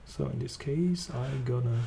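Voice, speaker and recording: A man with a German accent walking through a software demo, talking casually as he clicks along. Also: medium pitch, a little slow, gravelly, slight background noise. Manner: relaxed, thinking aloud while clicking. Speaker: software trainer. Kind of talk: screen-recorded software demo.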